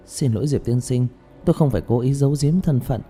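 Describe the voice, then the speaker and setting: A man's voice: mid-range voice, brisk, warm tone, husky, soft background music, pitched above the performer's own voice, natural voice. A man voicing a polite, hesitant young female singer in an audiobook story, with an earnest manner. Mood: apologetic, explaining. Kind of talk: audiobook character dialogue.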